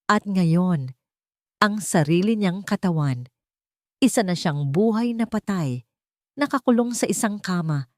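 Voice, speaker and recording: A woman's voice: lowish voice, a little mellow, no room sound, studio quality. A woman storyteller reading a scripted audiobook in a dramatic narrative style.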